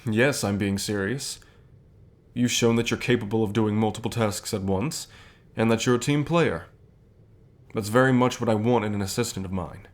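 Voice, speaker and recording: Guy playing a calm encouraging boss, lowish male voice, takes his time, asmr roleplay, bit flirty. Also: lightly acted. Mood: warm, sincere. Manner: measured, unhurried, with pauses and soft chuckles. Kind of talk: ASMR roleplay, softly acted one-sided dialogue.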